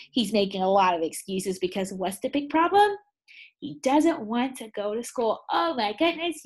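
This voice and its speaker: A woman teacher reading a picture book aloud to second graders. She is animated and keeps asking the children questions. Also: medium pitch, husky. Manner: animated, asks the class many questions. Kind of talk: read-aloud lesson for children.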